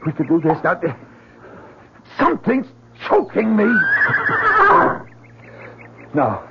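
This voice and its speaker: An actor playing an ailing, urgent spy who poses as a doctor, with halting, breathless, repetitive speech in old-time radio drama style. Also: male voice, sits high, wildly swooping pitch, exaggerated voice. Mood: choking, desperate. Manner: halting, breathless, repeating words. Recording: muffled noisy old radio recording.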